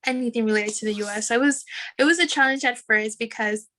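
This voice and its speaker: A woman from New York City on a panel, telling a story in a lively way and acting out the dialogue. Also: on the high side, fast talker. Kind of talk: casual panel chat.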